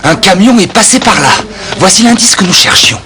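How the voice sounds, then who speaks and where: A man voicing a gentle, kindly young adventurer full of wonder in a French-dubbed cartoon. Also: sits high, lively intonation, brisk, very noisy. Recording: loud music behind the voice.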